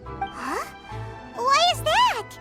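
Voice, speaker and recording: A woman voicing an excitable, blunt little floating female companion who exclaims a lot in a game cutscene. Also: extremely high pitch, full character voice. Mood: alarmed. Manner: chirpy, quick to exclaim. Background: game music.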